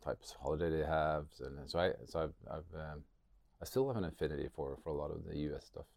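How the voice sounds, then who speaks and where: A man's voice: extremely low pitch, quick pace, vocal fry, a little mellow, no room sound, clean studio sound. A man from Norway with a Norwegian accent, speaking reflectively as an interview guest, hesitant and repeating words as he searches for phrasing. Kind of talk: reflective interview talk about personal experience.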